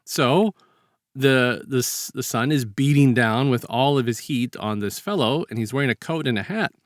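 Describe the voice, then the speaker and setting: A man with a medium-pitched voice, recorded dry and free of room sound, co-hosts a podcast. He talks casually and colloquially, rambling as he explains and slipping into little quoted lines. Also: moderate pace, dry recording. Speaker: podcast co-host. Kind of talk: casual chat, informal storytelling.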